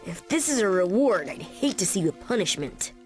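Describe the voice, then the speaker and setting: A woman voicing a cocky, sarcastic ten-year-old boy hero in an animated video game. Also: mid-range voice, moderate pace, over music, lightly acted. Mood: sarcastic, grumbling. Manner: sarcastic, bratty.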